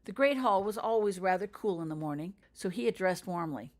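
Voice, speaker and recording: A woman's voice: mid-range voice, expressive, a little fast, a little mellow. A woman reading her own fiction aloud as a scripted audiobook narration for younger listeners. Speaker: author reading own story.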